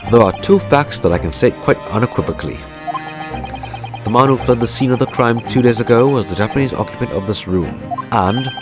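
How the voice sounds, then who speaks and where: A man doing a grandiloquent gentleman detective. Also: lowish voice, lightly acted. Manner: grandiloquent, theatrical. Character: eccentric, pompous gentleman detective, male. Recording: game music behind.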